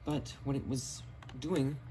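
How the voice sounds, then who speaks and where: A man's voice: mid-range voice, fairly even intonation, slow pace, dark tone. A man casually showing and explaining his radio finds in a matter-of-fact way, recorded on a cell phone in a basement. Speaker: radio collector presenting finds. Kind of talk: casual show-and-tell vlog. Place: home basement. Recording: audible background noise, phone recording.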